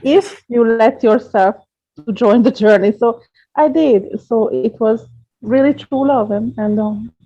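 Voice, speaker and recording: A woman from Hungary, a guest astrologer explaining her craft in an earnest, measured way. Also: on the high side, husky. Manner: earnest, measured, gently repeats words. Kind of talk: interview answers, explaining at length. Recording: dull lo-fi podcast audio.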